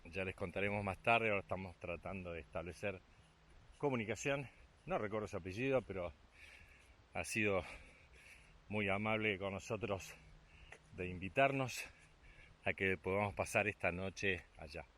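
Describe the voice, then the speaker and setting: Argentine man narrating a bright outdoor trail vlog. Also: deep voice, moderate pace, long pauses. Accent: Argentine Spanish. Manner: chatty, upbeat, encouraging his child. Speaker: vlogger and father. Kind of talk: travel vlog narration while walking. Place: outdoors on a rural walking trail.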